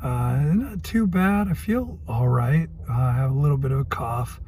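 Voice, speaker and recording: A man with a cold and a hoarse voice, rambling through a casual video diary and thinking aloud as he goes. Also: mid-range voice, normal speed, a little mellow, a little hiss or hum. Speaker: health vlogger.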